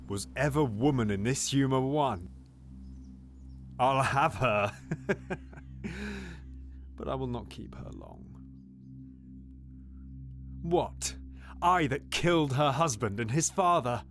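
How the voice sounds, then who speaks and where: A man playing a scheming, silver-tongued nobleman in a Shakespearean audio drama, theatrical and ranging between hushed pleading and loud exultation. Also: mid-range voice, a little hiss or hum. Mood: gloating exultation.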